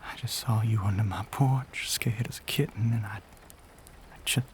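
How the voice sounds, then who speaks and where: A man playing a lonely, hungry Southern gentleman vampire in an intimate audio roleplay, speaking haltingly in a breathy voice with a Southern US accent. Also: fairly low pitch, a little hiss or hum, voice pushed down, lightly acted. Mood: hesitant, conflicted. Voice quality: breathy, whispery. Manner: halting, hesitant, drawn-out phrases.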